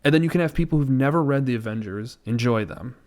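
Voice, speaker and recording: A man's voice: mid-range voice, fast talker, a little mellow. A man co-hosting a podcast in casual, rambling, opinionated discussion with a joking, sarcastic streak. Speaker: podcast co-host. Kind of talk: casual pop culture discussion.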